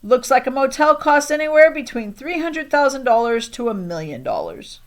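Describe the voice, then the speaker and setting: A chatty school librarian from a children's storybook, played by a woman reader. She is an adult woman, eager to help, and speaks a little high in a friendly, talkative manner. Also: sing-song, normal speed. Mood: interested, amused.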